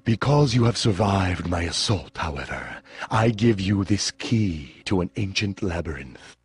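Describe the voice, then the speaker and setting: A menacing, low-voiced warrior of chaos for a video game. His speech is very husky and slow, grand and deliberate, like a cunning mentor laying down a threat. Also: lively intonation, no room sound, exaggerated voice. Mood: stern. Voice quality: raspy, gravelly. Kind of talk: video game cutscene acting.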